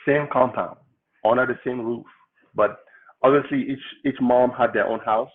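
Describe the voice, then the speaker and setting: A man from Cameroon, a political candidate, telling his life story in an interview with relaxed, explanatory humor. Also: mid-range voice, moderate pace. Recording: tinny phone-like line.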